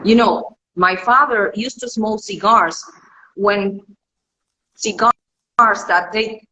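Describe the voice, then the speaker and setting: Woman, English, sharp bright tone, restarts and repeats. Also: mid-range voice, poor audio. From Cuba. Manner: halting, searches for words, repeats herself. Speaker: guest, cigar connoisseur. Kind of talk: casual live interview.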